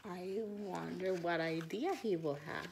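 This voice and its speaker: A woman teacher reading a children's storybook aloud, expressive and questioning, pausing to think out loud. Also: lowish voice, vocal fry, a little hiss or hum.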